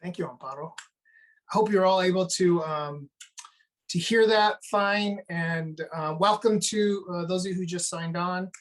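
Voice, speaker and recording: A woman moderating a live online discussion over a video call, speaking in an unhurried, conversational way. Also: lowish voice. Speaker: webinar moderator.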